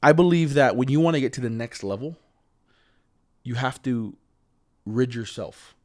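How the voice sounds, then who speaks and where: A man's voice: mid-range voice, lively intonation, moderate pace. A man with a stutter hosting a podcast, speaking in an earnest, motivational way and calling his guest "bro" and "dude.". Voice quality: stutters, swaps hard words on the fly. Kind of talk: casual conversational interview.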